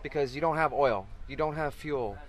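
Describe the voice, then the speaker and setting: A man's voice: mid-range voice, moderate pace. A middle-aged man with an ordinary mid-range voice talks about his fishing tournament. He is enthusiastic and rambling, often restarting his sentences. He is recorded outdoors on a beach through a camera mic. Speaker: tournament organizer. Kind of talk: casual interview talk. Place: outdoors on a beach, under a cart canopy.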